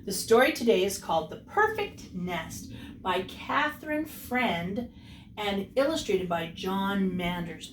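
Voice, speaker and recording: A woman's voice: lowish voice, a little hiss or hum. A woman kindergarten teacher reading a picture book aloud expressively and chatting to young children. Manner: animated, explains words to children, drawn-out delivery when reading. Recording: roomy echo, distant mic.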